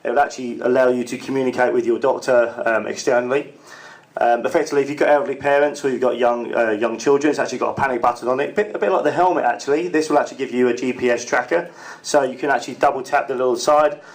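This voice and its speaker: A man giving an unscripted sales pitch to a room, filling his talk with "actually" and "uh" and often repeating words. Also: fairly even intonation, fast. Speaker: sales representative pitching a product. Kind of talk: impromptu sales pitch at a networking event. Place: event room with an audience.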